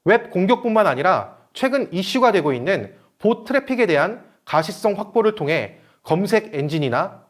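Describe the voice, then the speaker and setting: A man giving a scripted technical presentation in a formal, read-out manner, as a seminar presenter. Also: fairly high pitch.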